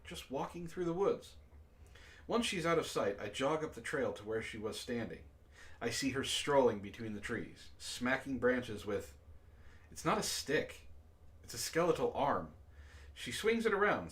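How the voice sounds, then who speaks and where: A man reading a story aloud to friends over voice chat, deadpan in the narration and laughing often between lines. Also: mid-range voice, moderate pace. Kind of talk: casual group reading and chat.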